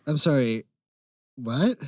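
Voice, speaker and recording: A young man who works as a voice actor, answering casually as an interview guest in an articulate way with wry humor and thoughtful pauses. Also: medium pitch, sing-song, dry recording. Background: music.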